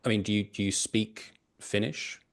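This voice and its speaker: A man's voice: lowish voice, fairly even intonation, normal speed. A man who is a native English speaker, hosting a casual podcast interview and often repeating words as he frames his questions.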